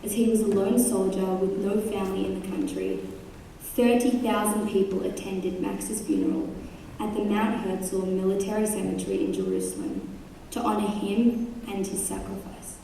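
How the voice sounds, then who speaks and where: A woman reading a memorial tribute aloud in a solemn, deliberate way at a school assembly. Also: medium pitch, warm tone, slight background noise. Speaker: student presenter. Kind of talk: scripted memorial narration. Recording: echoey hall from far off.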